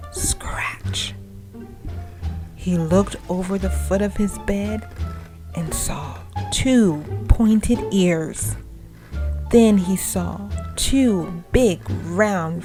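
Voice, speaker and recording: Woman, ghost story narration, leisurely pace, suspenseful, expressive, music clearly underneath. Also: lowish voice. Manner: suspenseful, expressive, dropping to breathy hush.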